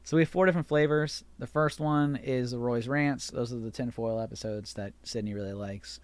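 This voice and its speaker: A man in his mid-thirties hosts a podcast in loose, rambling conversation. He restarts and corrects himself often, and his voice sits in a middle pitch. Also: a little fast, no echo. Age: 30s. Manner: rambling, chatty, self-correcting. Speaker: podcast host. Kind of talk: casual chat.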